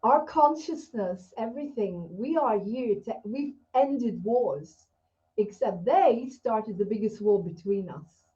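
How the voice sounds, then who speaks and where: A woman's voice: on the high side, animated, slow pace, dark tone. A woman raised in Israel hosting an interview over a video call, talking in an emphatic, rambling, heartfelt way. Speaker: host. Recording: dull lo-fi video call audio.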